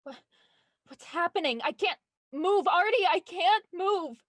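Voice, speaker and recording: A woman's voice: extremely high pitch, measured pace, fairly bright tone, no room sound, clean studio sound. An actress playing a frightened but defiant young woman in an audio thriller, tense, emotional and challenging. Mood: frightened, pleading. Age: young adult.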